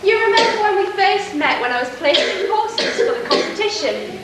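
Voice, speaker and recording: A woman playing a scheming, smooth-talking nobleman in an amateur stage pantomime, recorded from afar in a hall. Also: very high voice, animated, moderate pace. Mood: smooth, courting. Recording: echoey hall, distant mic, faint noise.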